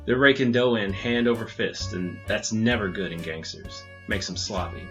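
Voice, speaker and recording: A man's voice: fairly low pitch, moderate pace, lightly acted. A man playing a terse, wisecracking loner detective at a bar in a hardboiled comedy audio drama. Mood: wry, weary. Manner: deadpan, terse. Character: jaded, wisecracking male loner detective drinking at speakeasy. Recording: music bed under the voice.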